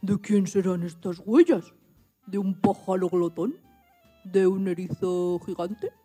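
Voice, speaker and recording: Lady doing a little piglet for a kids radio story, fretful n polite, soft mellow voice, bit of music under. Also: medium pitch, steady tone, slow, breathy edge. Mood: indignant, wondering. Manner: exclamatory, muttering questions to self. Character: small anxious, polite young piglet searching for lost chops. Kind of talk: radio play for children.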